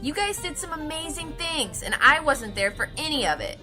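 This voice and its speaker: Woman playing a high, bright, outspoken young companion. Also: big pitch swings, moderate pace, soft background music, heavily acted. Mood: pleading, aggrieved. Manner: insistent, outspoken. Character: eager, forthright young female companion on adventures. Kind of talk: audio comedy drama.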